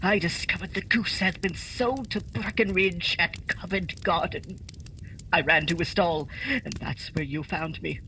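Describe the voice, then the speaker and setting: Guy doing a frantic pleading crook in a radio play, sharp voice, bit high. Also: measured pace, a little hiss or hum, exaggerated voice, poor audio. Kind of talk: holiday radio play acting.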